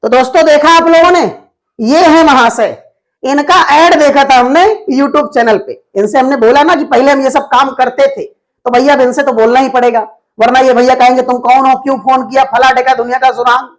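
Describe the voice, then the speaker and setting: A man's voice: extremely high pitch, fairly even intonation, normal speed, poor audio. A man talking casually and explaining like a YouTuber, insistent and colloquial, often checking that he is understood. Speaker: YouTuber and host. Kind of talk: casual phone talk and explaining to viewers.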